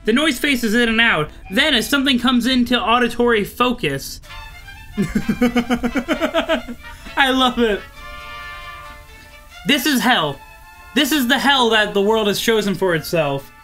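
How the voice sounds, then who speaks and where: A man with a high-pitched voice hosts a visual novel playthrough over faint music. He reads the narration aloud and breaks off for chatty, amused asides in a bright tone. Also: expressive, measured pace, pauses often, poor audio. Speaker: let's play host.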